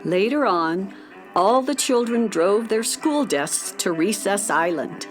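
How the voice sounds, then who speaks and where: A woman's voice: medium pitch, animated, takes it slowly, soft background music. A woman narrator reading a scripted children's story.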